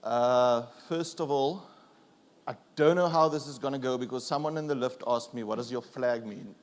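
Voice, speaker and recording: A South African man whose first language is Afrikaans, giving a relaxed, self-deprecating conference presentation with fillers and restarts. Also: medium pitch, normal speed. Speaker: conference speaker.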